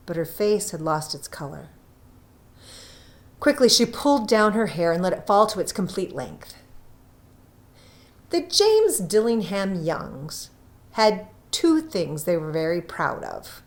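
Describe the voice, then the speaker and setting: Slow, expressive woman reading a story. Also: mid-range voice. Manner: deliberate, expressive storytelling with pauses. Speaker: story reader, narrator. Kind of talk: scripted narration, literary short story reading.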